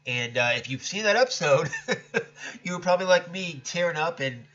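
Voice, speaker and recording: A man's voice: fairly high pitch, lively intonation, normal speed, pushed and bright, lo-fi sound. A man hosting a casual solo commentary show, talking in a chatty, rambling way with a stuffed-up, nasal voice. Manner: chatty, rambling, self-correcting. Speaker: podcast host.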